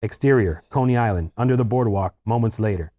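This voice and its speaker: A man's voice: on the low side, brisk, warm tone. A man reading stage directions aloud as narrator at a screenplay table read.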